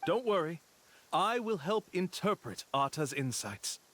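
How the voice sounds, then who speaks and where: A polite, higher-voiced tour guide. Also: male voice, sing-song, measured pace, fairly bright tone, slight background noise. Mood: apologetic, persuasive. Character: smooth-talking male tour guide, polite, reassuring con artist. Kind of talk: video game voice acting.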